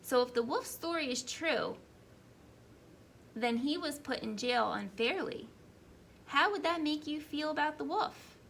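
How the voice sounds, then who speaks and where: A woman's voice: high-pitched, animated, a little slow, creaky, pauses often, a bit bright, slight background noise. A woman preschool teacher explaining a story simply and prompting young children with questions during a read-aloud.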